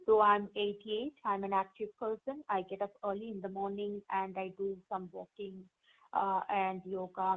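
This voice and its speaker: A woman's voice: medium pitch, creaky, lightly acted. A woman role-playing an independent 88-year-old patient in an exam practice, speaking hesitantly with many fillers. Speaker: role-play partner. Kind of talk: exam role-play over the phone. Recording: tinny phone line.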